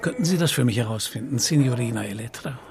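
A man's voice: mid-range voice, expressive, quick pace, gravelly, a little mellow, slight background noise. A middle-aged man acting a shrewd, patient police commissario in a radio drama, probing and dry in manner. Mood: businesslike.

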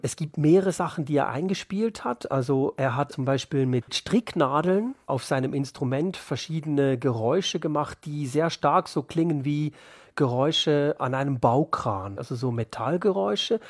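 A man's voice: a little high, lively intonation, moderate pace, no room sound, studio quality. A man speaking as an author in an interview, explaining his work in a thoughtful, reflective way.

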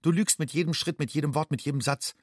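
Haunted secretive young migrant guy, radio play monologue, husky and dry, terse inward phrases. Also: a little high, fairly even intonation, fast talker, dry recording. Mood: agitated. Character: haunted, secretive young undocumented man addressing himself as you. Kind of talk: literary second-person monologue in a radio play.

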